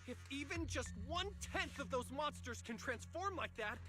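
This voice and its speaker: A woman's voice: high-pitched, animated, moderate pace. A woman voicing an alarmed underling in a video game, urgent and anxious, with music behind her. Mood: worried.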